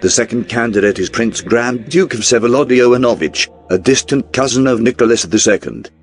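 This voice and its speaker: Man, medium pitch, formal scripted narration, newsreel documentary style, background music. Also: brisk.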